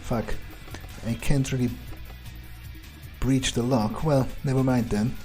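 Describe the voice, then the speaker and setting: A man role-playing an arrogant, dryly amused vampire while playing a video game, musing aloud in a halting, deadpan way. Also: mid-range voice, soft background music, close to an everyday voice. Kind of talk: in-character video game playthrough, thinking aloud.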